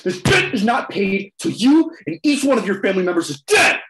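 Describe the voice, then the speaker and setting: A man's voice: high voice, very animated, brisk, noisy background. A young man reading the part of a taunting, vengeful Venezuelan gunman in a play over a video call. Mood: enraged. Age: young adult. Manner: taunting, slips into Spanish. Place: room at home. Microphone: computer mic on video call.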